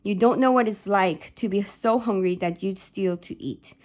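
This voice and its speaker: A woman's voice: mid-range voice, moderate pace, a little mellow, close to an everyday voice. A woman voicing an angry middle-aged immigrant father, bitter and domineering, in a read-aloud novel. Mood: cold, stern.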